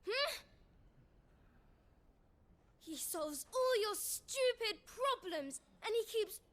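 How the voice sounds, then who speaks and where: Very high-voiced, indignant child. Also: female voice, expressive, extremely slow, fairly bright tone, exaggerated voice. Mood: indignant, pleading. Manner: earnest, pleading, accusing. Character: loyal, indignant small child defending a beloved friend. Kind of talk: dramatic video game dialogue.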